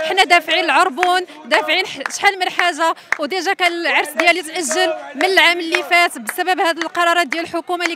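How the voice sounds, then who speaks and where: A woman with a Moroccan accent gives a street interview into a handheld microphone. Her voice is extremely high and she talks quickly, with clear outdoor noise around her. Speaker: interviewee, bride at a protest. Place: open square outdoors, crowd behind. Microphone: handheld reporter microphone. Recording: noisy outdoor crowd, clicks.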